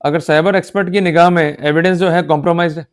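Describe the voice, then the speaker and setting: Indian male law lecturer, slightly high voice, emphatic and exhorting teacher who keeps checking understanding. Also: fairly even intonation, fast, clean tone. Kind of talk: instructional law lecture in Hindi-English mix.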